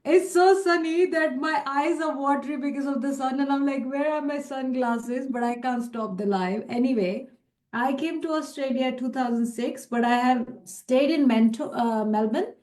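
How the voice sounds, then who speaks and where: Woman host, chatty live video call, mellow voice, echoey home room. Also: sits high, normal speed. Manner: chatty, rambling storyteller, laughs easily. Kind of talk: casual live video chat. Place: sunny room at home in Melbourne.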